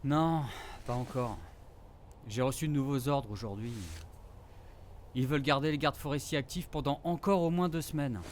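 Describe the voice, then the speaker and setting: An actor playing a teasing, affectionate forest ranger in a naturalistic audio drama, with casual, slangy delivery. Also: male voice, mid-range voice, moderate pace, slight background noise, lightly acted. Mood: subdued, serious.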